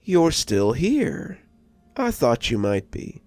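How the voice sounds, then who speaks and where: A man narrating a scripted first-person story as a horror host, with a measured, dramatic storyteller's delivery and a gravelly voice. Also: medium pitch, huge pitch swings, a little mellow. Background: background music.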